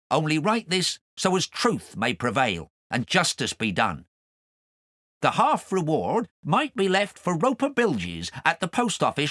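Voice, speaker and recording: A man's voice: a little high, pushed and bright, no echo, clean studio sound, full character voice. A man voicing a self-righteous, rambling sailor with a grudge, telling his confession in an audiobook. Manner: sanctimonious, aggrieved, rambling. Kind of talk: audiobook character narration.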